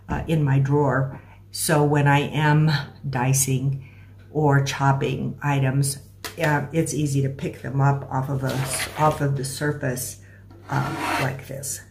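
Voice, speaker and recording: A middle-aged American woman giving a casual, step-by-step cooking demonstration in a halting, explanatory way. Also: a bass voice, darkish tone. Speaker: home cooking instructor. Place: small room at home, indoors. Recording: clear background noise with clicks.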